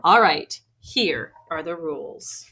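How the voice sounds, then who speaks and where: A woman reading a picture book aloud to children expressively, with deliberate emphasis on key phrases. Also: low voice, animated, pushed and bright. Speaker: read-aloud storyteller for children. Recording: faint background noise.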